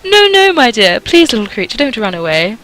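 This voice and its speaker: A woman's voice: a little high, sing-song, a little fast, a bit bright, soft background music, voice pushed up, rough recording. A woman voicing a haughty, mocking young noblewoman in a visual novel, theatrical and condescending. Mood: condescending cooing. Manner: theatrical, condescending, gushing cruelty.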